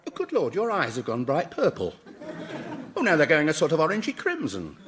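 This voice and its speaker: Bright, very animated British professor. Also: male voice, a little high, a little fast, a little hiss or hum. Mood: fascinated. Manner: chatty, polite, donnish, amused. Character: cheerfully curious, unflappable British male professor in hell. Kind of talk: comedy radio drama acting.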